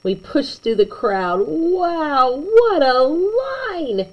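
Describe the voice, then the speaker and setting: A woman reading a children's picture book aloud in an expressive way, with chatty asides to young listeners. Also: high-pitched. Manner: animated, slow and deliberate storytelling, chatting to young listeners.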